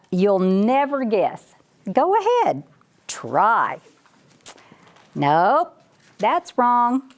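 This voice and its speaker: A self-important ranch dog from a children's storybook, read by a woman. The delivery is unhurried, with pitch that moves up and down a lot as the dog brags and explains things to the listener in a folksy, chatty way. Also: heavily acted. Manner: bragging, chatty, addressing the listener directly.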